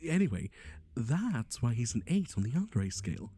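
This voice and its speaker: A man's voice: medium pitch, very animated, normal speed, mellow, breathy edge. A man playing a chatty, playful matchmaker in an ASMR roleplay, with a theatrical, teasing, conversational delivery. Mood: theatrical, amused. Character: chatty, playful male matchmaker for obsessive yandere boyfriends.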